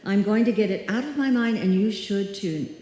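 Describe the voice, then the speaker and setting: A woman acting a brisk, stubborn character who refuses to be frightened, in an old time radio suspense play. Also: medium pitch, dark tone, close to an everyday voice. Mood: firm, insistent. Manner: firm, dismissive, bossy. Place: live stage performance in a hall. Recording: very echoey live hall.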